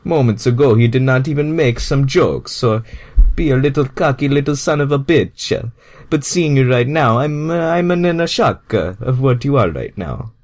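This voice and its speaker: Man doing an excitable game plumber, raised pitch, exclaiming, very swingy intonation. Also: moderate pace, close to an everyday voice. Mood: astonished. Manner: exclaiming, astonished, stilted phrasing.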